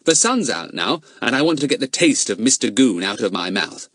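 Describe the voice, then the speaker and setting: A man's voice: medium pitch, sing-song, a little fast, a bit bright, no echo, clean studio sound, pitched above the performer's own voice. A man voicing a cheeky schoolboy who answers everyone with exaggerated politeness. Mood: cheeky polite.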